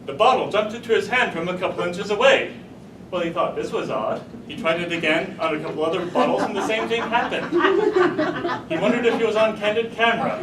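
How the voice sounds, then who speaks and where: A man giving a humorous tall-tale speech to a live audience, deliberately, pausing for punchlines. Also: lively intonation. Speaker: storyteller at a speech contest. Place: room with a live audience, recorded from a distance. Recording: roomy, distant mic, rumbling noise.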